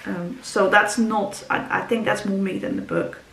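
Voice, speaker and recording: A woman's voice: mid-range voice, flat delivery, moderate pace, gravelly, a bit bright, slight background noise. Rambling woman. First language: Dutch. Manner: rambling, frequent ums and restarts. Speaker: book vlogger. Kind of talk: casual book talk to camera. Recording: roomy echo, faint ambience.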